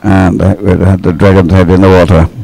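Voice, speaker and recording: Male sports commentator, very deep voice, gravelly, conversational, hesitant, repeats words. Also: level tone, warm tone, poor audio. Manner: hesitant, halting, repeats words while explaining. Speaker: sports commentator, expert analyst.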